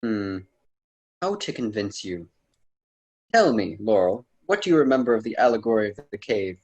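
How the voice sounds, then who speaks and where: A man's voice: lowish voice, big pitch swings, normal speed, slightly rough. A man playing a patient ancient Roman poet and mentor in a radio drama, speaking in formal, old-fashioned phrases. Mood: patient, persuasive. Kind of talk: theatrical radio drama dialogue.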